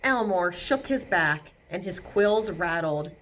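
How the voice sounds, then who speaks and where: A woman's voice: fairly low pitch, animated, takes it slowly, fairly bright tone, a little hiss or hum. A woman reading a picture book aloud to young children as a storytime librarian, expressively, with simple asides explaining words and pictures.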